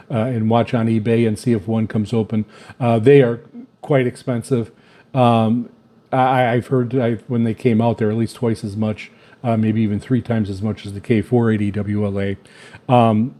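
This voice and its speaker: Mellow-voiced man giving a halting gear review. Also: medium pitch. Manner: halting, repetitive, stammering delivery with frequent filled pauses. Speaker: video host reviewing radio gear. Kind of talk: casual unscripted product review.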